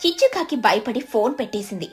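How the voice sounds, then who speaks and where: A woman's voice: a little high, big pitch swings, fast talker, darkish tone, faint music behind. A woman narrating a scripted children's story.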